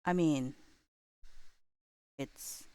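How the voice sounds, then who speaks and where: A woman's voice: deep voice, extremely slow, warm tone, smooth, no echo. A woman livestream host chatting casually, rambling and thinking aloud in an informal way.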